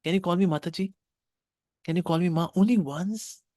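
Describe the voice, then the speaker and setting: A man's voice: a little fast, dark tone, no room sound, clean studio sound, close to an everyday voice. A man doing a pleading old fruit-seller woman. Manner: high, pleading, tearful.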